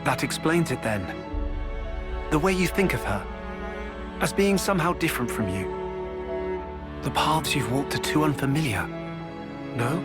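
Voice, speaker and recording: A man's voice: a little high, lively intonation, a little slow, pauses often, music in the background. A man playing a thoughtful, level-headed resistance member in a video game cutscene. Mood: reflective.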